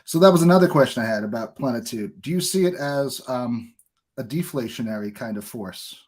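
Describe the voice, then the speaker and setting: A man's voice: medium pitch, brisk, darkish tone, breathy edge. A man hosting a podcast interview, talking in an earnest, opinionated and discursive conversational way. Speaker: podcast host.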